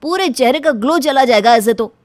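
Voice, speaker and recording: A woman's voice: high voice, lively intonation, a little fast, darkish tone, dry recording. A woman voicing an exasperated young husband who tries to placate, in a cartoon story. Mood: placating. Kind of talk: Hindi cartoon story acting.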